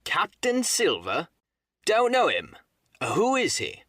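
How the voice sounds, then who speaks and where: A man's voice: mid-range voice, moderate pace, fairly bright tone, dry recording, clean studio sound, lightly acted. Man playing a stern, commanding sea captain. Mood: challenging. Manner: curt, authoritative. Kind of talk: audiobook character acting.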